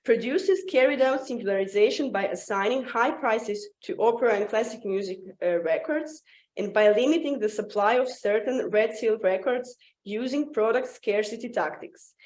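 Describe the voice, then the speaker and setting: An echoey room surrounds a woman with a fairly high voice. She reads a formal academic paper aloud as a symposium presenter, her delivery halting as she trips over words and starts them again. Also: a little mellow.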